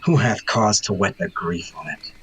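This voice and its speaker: A man playing a blunt, sardonic nobleman in a Shakespeare audio drama, with a husky voice and a needling edge. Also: low-pitched, moderate pace, fairly bright tone, full character voice, poor audio. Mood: accusing, bitter.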